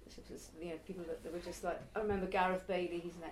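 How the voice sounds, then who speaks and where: A woman's voice: on the low side, little pitch movement, racing, slight background noise, low-quality recording. A woman in her early forties, a circus performer and youth circus teacher, answering interview questions in a chatty, reflective way. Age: early 40s. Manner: chatty, reflective, laughs now and then.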